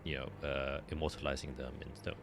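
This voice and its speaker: A man's voice: rumbling low, flat delivery, a little fast, over loud music. A man narrating casually as the game master of a tabletop roleplaying game.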